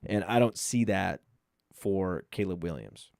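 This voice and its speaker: A man from Maine with a mostly suppressed Maine accent, hosting a casual sports podcast, often repeating words and trailing off quietly. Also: sits low, animated, measured pace, creaky, no echo, studio quality. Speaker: podcast host.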